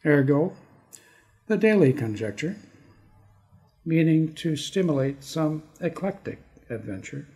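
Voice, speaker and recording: A Canadian man speaking as a guest poet on an arts podcast in a deliberate, halting manner. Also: a little high, big pitch swings, warm tone. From Toronto area, Canada. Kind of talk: casual self-introduction and slow poetry reading. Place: studio.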